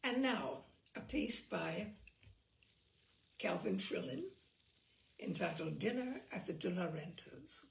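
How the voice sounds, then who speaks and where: A woman reads humorous essays aloud with dry wit, her delivery rising and dipping in a very lively way. Her voice has a gravelly, creaky texture, and the recording sits in a roomy space with a faint hiss behind it. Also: on the low side, slow, pauses often. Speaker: reader.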